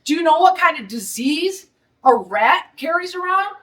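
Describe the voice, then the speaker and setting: A woman's voice: very high-pitched, lively intonation, normal speed, fairly bright tone, distant mic. A middle-aged woman from Detroit with a Detroit accent, a restaurant owner holding a blunt, indignant press conference.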